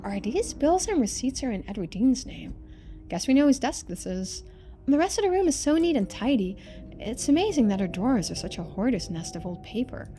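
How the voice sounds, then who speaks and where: A woman's voice: fairly high pitch, big pitch swings, brisk, warm tone, soft background music. A woman voicing a chatty, earnest young video maker from a story game, speaking casually and expressively. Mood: gossipy.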